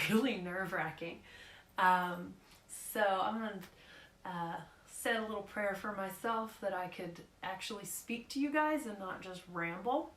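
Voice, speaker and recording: Slow, slightly hoarse woman. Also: mid-range voice, fairly bright tone, reverberant. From Oklahoma. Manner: rambling, nervous, with many fillers and restarts. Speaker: speaker giving a live talk. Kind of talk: casual live-stream talk.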